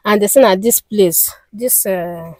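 A woman's voice: mid-range voice, unhurried, a little mellow, dry recording, clean studio sound, lightly acted. A woman with a Nigerian accent playing an indignant, bossy woman in an improvised drama, firing repeated questions and orders. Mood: brisk, conspiratorial. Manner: commanding, repetitive questioning. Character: indignant, bossy woman confronting an eloping couple. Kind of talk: improvised confrontation drama, Nigerian Pidgin-mixed speech.

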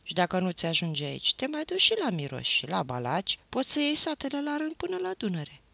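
A man reading aloud voices an older peasant father talking in a hushed, wry way, on a dull, dry recording. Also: high voice, huge pitch swings, creaky, no room sound, pitched above the performer's own voice, heavily acted.

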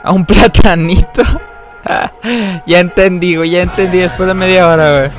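A man's voice: high voice, little pitch movement, moderate pace, music in the background. A young man with a Mexican accent chatting casually through a reaction video, full of slang fillers. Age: young adult. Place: small room indoors. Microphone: desk mic on a boom arm, headphones on.

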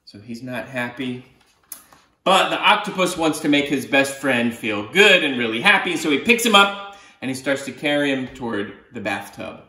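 Animated, bright-voiced man reading in a roomy space. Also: medium pitch, moderate pace. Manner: expressive, explanatory asides. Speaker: children's author reading his own book. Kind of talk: animated picture-book read-aloud. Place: small living room, indoors on a sofa. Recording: roomy living-room echo.

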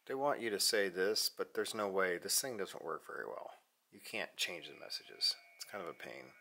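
A man's voice: sits low, moderate pace, creaky. An American man in his forties narrating a casual vlog, offhand and half talking to himself. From United States. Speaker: vlogger, amateur radio hobbyist. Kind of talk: casual vlog narration while operating radio. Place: unfinished basement with metal shelving. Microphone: handheld camera mic at arm's length. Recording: steady background noise, handheld camera audio.

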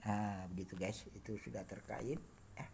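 A man narrating an informal hands-on demo, halting and muttering to himself as he works, recorded indoors on a handheld phone. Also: lowish voice, steady tone, vocal fry, noisy background. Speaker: hobbyist electronics builder demonstrating circuits. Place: indoor home workbench.

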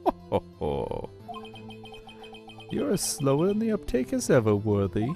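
A man puts on an older police chief for a courtroom game, smug and jovial with menace underneath. The delivery is theatrical and mocking, swinging widely in pitch, with a creaky edge. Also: huge pitch swings, over music, heavily acted. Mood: smug, taunting. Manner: mocking, drawn-out, theatrical chuckles. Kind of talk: voiced visual novel playthrough.

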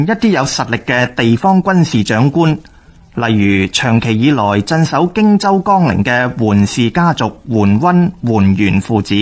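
A man with a Hong Kong Cantonese accent reads scripted historical radio narration in a formal, measured way. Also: mid-range voice.

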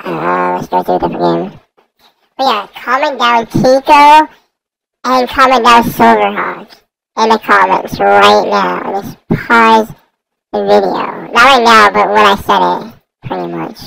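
A gaming YouTuber heard through a voice changer, giving casual, slangy and repetitive gameplay commentary in a gravelly voice. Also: mid-range voice, a little slow, bright, forceful tone, poor audio. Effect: voice changer raising the voice.

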